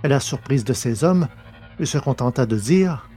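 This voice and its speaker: A man reading scripted science fiction narration in a measured, literary way. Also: medium pitch, animated, dark tone, breathy edge. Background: music.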